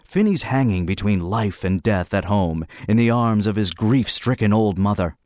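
A man's voice: lowish voice, expressive, normal speed, a little mellow, no echo, clean studio sound, natural voice. A man voicing a pompous teenage schoolboy who stages a mock trial, judicious and accusing.

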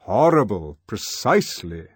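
A man's voice: lowish voice, big pitch swings, unhurried, slightly rough, no room sound, clean studio sound, exaggerated voice. A man voicing a commanding, lecturing male ruler who addresses a group of boys. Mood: lofty. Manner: oratorical, lecturing. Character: commanding male world controller, older, lofty.

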